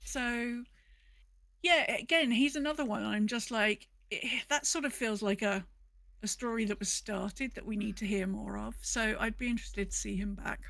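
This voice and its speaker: A woman's voice: on the high side, normal speed, vocal fry, fairly bright tone, no room sound. A woman co-hosting a podcast in a casual, playful, chatty way, sometimes reading out messages. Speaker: podcast co-host. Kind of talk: casual chat.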